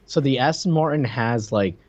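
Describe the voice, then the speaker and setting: Husky guy chatting on a podcast, animated, bit muffled mic. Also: mid-range voice, normal speed. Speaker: podcast co-host. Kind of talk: casual chat.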